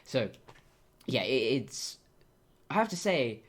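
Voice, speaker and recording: A young woman with a deep voice for a woman, talking casually and candidly to camera in a wry, self-interrupting way. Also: very animated, slow pace, breathy edge. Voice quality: deep voice for a woman, deepened by testosterone. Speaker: vlogger. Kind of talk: casual personal monologue to camera.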